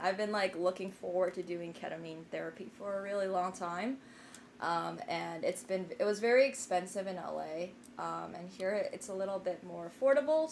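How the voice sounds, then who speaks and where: A woman's voice: on the low side, gravelly, slight background noise. A young woman who grew up in Finland, chatting casually with her live stream viewers, rambling with lots of fillers and restarts. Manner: rambling, many fillers and restarts, self-deprecating.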